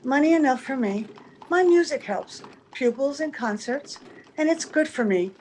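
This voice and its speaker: A woman playing a wistful, gentle, secretly sad young-looking woman in an old-time radio drama. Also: sits high, lively intonation, moderate pace. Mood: resigned. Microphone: video call. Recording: faintly noisy video-call recording.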